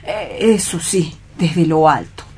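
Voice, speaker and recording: A woman playing a timid, dreamy male clerk in a radio theatre reading, hesitant and anxious, with a husky, breathy voice and an accent from Spain. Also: low-pitched, lively intonation, slow, warm tone, full character voice. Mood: dreamy dread. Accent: Spanish (Spain). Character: timid, dreamy male bank clerk afraid of real love.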